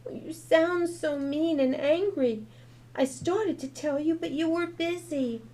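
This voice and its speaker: Casting note: sweet, wise little girl, put on by a woman, high and earnest, mellow tone. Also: slow, poor audio. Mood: tearful.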